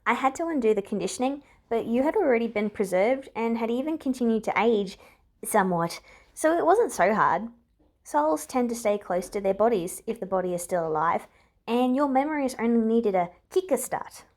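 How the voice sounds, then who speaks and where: Woman as a bright, confident teenage girl hero. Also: on the high side, lively intonation, moderate pace. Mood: gently explaining.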